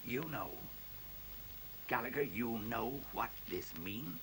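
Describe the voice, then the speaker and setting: Sceptical professor, pompous and exacting, middle-pitched, radio play with slight hiss. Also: male voice, expressive, slow, fairly bright tone, poor audio. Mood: shaken, weak. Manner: pompous, precise, dismissive. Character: brusque, sceptical, arrogant male professor.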